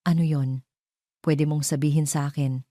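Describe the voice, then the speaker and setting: Woman voicing a low, tender romantic gentleman. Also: steady tone, dry recording, clean studio sound, natural voice. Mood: concerned. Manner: soft, slow, soothing. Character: calm, tender, reassuring wealthy man.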